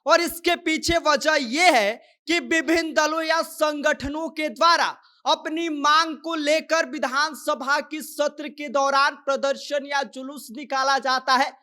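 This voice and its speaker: A woman's voice: high-pitched, steady tone, slow pace, few pauses, sharp tone. A woman presenting a news explainer in a scripted reporting style.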